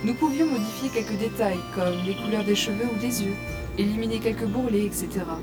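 A woman's voice: medium pitch, a little fast, close to an everyday voice. An actress playing a curious, teasing young gamer who narrates her adventure as a novice ranger in an audio drama. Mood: absorbed, reflective. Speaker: narrator and lead character. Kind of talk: first-person audio drama narration with dialogue. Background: prominent music.

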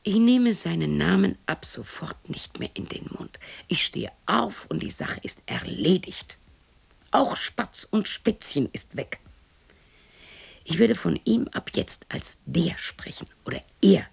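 An actress playing a lonely, bitter widow of sixty in a radio play, talking to herself in a husky, creaky voice that often drops to a whisper, scolding and questioning herself. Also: female voice, lowish voice, big pitch swings, slow, full character voice. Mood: bitter, resolute. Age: 60s. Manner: talks to herself, scolding and questioning, abrupt shifts.

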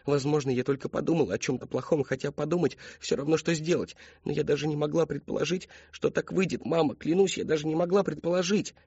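A man voicing a frightened, tearful teenage daughter in an audiobook, pleading and halting in a thin, trembling voice. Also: fairly high pitch, fairly even intonation, darkish tone, breathy edge, no echo, dull audio, voice pushed up.

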